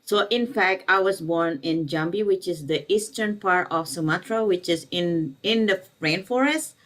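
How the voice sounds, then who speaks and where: A woman's voice: fairly low pitch, normal speed. A middle-aged woman from Indonesia speaking at a panel, rambling with many fillers and restarts. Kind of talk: casual conference talk.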